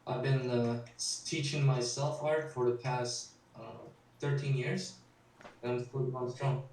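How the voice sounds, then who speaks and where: An illustrator, a man, introduces himself in a steady, matter-of-fact way on a video call. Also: mid-range voice, unhurried, a little hiss or hum. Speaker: illustrator, panel guest. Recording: very echoey, distant video-call audio.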